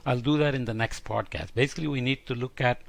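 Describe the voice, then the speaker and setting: A man's voice: mid-range voice, fast talker, creaky. A man lecturing in an explanatory, podcast-style science lesson, thinking aloud as he works through each step with some hesitation.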